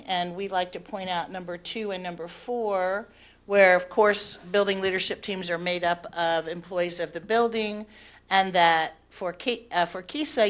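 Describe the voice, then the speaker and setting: Loud-voiced woman presenting at the podium. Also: lowish voice. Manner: loud voice, talks partly off the cuff with fillers. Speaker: teachers' union negotiator. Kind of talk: semi-prepared public presentation. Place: board of education meeting room. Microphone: podium microphone.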